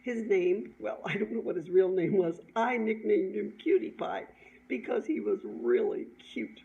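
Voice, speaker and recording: A middle-aged woman telling an informal personal story in an animated, chuckling way, as an author sharing her own work. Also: mid-range voice, moderate pace. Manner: animated, chuckling, acts out dialogue. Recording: dull lo-fi sound, clear background noise.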